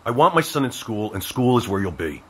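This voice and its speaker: A man's voice: mid-range voice, sing-song, a little fast, husky. An actor playing a stern, short-tempered middle-aged father and lawman in an audio drama. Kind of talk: naturalistic audio fiction acting.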